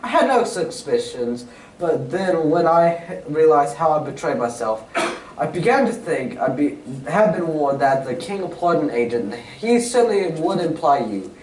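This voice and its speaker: A man playing a confident Victorian gentleman detective in a radio drama read on stage, with formal, old-fashioned phrasing. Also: on the high side, very animated, normal speed. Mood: reading, admiring. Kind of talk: 1940s-style radio drama stage acting. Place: community theatre stage reading. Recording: echoey hall, distant mic, faint noise.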